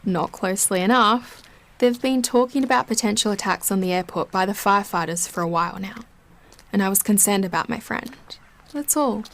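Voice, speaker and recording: A woman's voice: medium pitch, fairly quick, a bit bright, lightly acted. An actress playing a guarded, matter-of-fact young woman in an audio drama, speaking casually.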